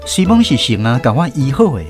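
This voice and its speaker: A man's voice: mid-range voice, sing-song, brisk, a little mellow, soft background music. A man with a Taiwanese accent narrating a scripted first-person story for a radio drama.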